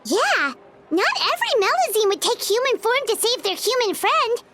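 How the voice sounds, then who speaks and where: A woman voicing a tiny, excitable, childlike fairy companion in a video game, squeaky, exclamatory and expressive. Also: normal speed, very noisy. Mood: curious, amazed. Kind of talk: cartoonish game dialogue. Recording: music playing behind the voice.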